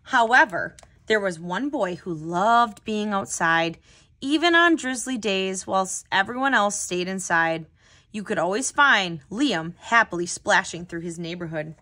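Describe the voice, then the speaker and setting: A woman's voice: mid-range voice, expressive, a little slow, sharp tone. Woman reading a children's storybook aloud. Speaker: tutor hosting a children's read-aloud.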